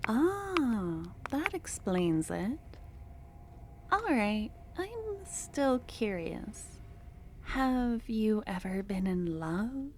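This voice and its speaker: A young woman voicing an obsessive, possessive female cultist in an ASMR roleplay. She talks in a soft, close murmur that drops into whispers, and her tone is doting and unsettling. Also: on the high side, sing-song, very slow, a little hiss or hum, heavily acted.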